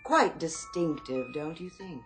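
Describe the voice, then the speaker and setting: A low-voiced department store saleswoman in a radio drama. She is eerily polite and knowing, drawing out genteel sales patter with wide swoops of pitch. Prominent music plays behind her. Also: animated, measured pace. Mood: coaxing, knowing.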